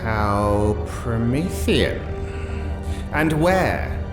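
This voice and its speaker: Sceptical villainous doctor, medium pitch, precise, inquisitive, formal, audio drama, echo. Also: male voice, expressive, drawn-out words. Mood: sceptical, curious. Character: cool, sceptical, clinical doctor serving a criminal cabal. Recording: roomy echo, music underneath.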